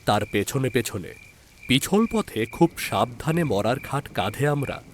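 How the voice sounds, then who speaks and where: A man narrating a scripted horror story in a dramatic, measured storyteller's manner with deliberate pauses. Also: medium pitch, lively intonation, slight background noise. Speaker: story narrator and channel host.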